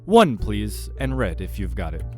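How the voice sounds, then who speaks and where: A man voicing a strange, genteel and intense male restaurant customer in a visual novel, with formal, deliberate politeness. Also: on the low side, sing-song, warm tone. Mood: insistent, eager. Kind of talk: visual novel character reading. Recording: music behind the voice.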